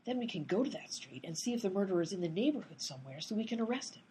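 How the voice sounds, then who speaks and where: A woman's voice: mid-range voice, very animated, rapid-fire, warm tone, a little hiss or hum, close to an everyday voice, rough recording. A woman playing an earnest, literal-minded amateur detective in a radio comedy, insistent and pedantic.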